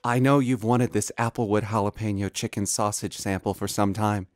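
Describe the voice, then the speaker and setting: A man's voice: lowish voice, normal speed, no echo, clean studio sound, natural voice. A man playing a knowing supermarket sample vendor who speaks like a solemn messenger. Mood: knowing, insinuating. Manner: calm, insinuating, preacherly. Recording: music under the voice.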